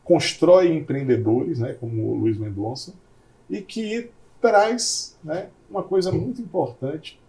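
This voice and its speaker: Gravelly, warm-toned host. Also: male voice, on the high side, lively intonation, a little slow, poor audio. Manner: effusive, emphatic praise. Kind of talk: casual interview chat.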